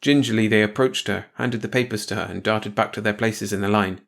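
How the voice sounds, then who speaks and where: A man's voice: lowish voice, steady tone, a little fast, vocal fry. A man reading a short story aloud as scripted audiobook narration.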